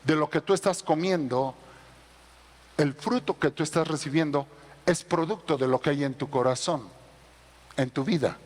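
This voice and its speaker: High-voiced preacher in a reverberant hall. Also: male voice, moderate pace, pauses often. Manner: preaching, swelling to loud emphatic peaks. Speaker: pastor. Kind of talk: sermon. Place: church.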